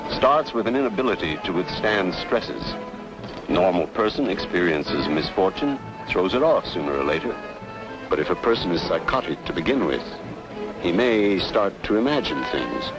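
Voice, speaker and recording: A deep-voiced, urbane doctor. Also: male voice, big pitch swings, over music, rough recording. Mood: calm, probing. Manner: measured, probing, conversational. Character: urbane, inquisitive male doctor, calm and wry. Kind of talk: old film noir drama acting.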